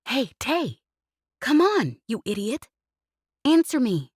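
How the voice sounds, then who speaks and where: A woman's voice: sits high, very animated, slow pace, darkish tone, breathy edge, dry recording, studio quality, voice pushed up, heavily acted. A woman doing a brash, teasing young pilot. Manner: cheeky, relentless chatter. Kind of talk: audiobook character reading.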